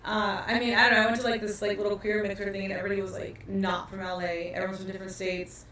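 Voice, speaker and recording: A woman's voice: mid-range voice, flat delivery, quick pace, fairly bright tone, a little hiss or hum. Easygoing woman from Los Angeles, echoey room. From Los Angeles, California. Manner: laid-back, trailing off, many "like"s. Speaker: comedian guest. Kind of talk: casual podcast chat. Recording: roomy echo, mic at a distance.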